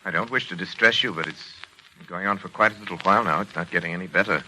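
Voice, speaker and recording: An actor playing a grave, controlling psychiatrist and husband in a 1940s radio drama, speaking formally and emphatically. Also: male voice, deep voice, sharp tone, slight background noise, rough recording. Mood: patronizing, restrained. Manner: formal, emphatic, halting under strain. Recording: 1940s radio recording, music behind.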